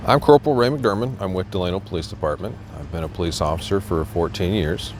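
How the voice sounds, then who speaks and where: Low-voiced American man reading a storybook. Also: fast, a little mellow, slight background noise. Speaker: guest reader, police officer. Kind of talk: children's storybook read-aloud.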